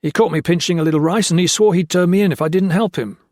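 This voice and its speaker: A man voicing a terrified, cringing young male storeman who babbles and pleads in a high, frantic voice. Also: very animated, moderate pace, husky, no echo, studio quality, exaggerated voice.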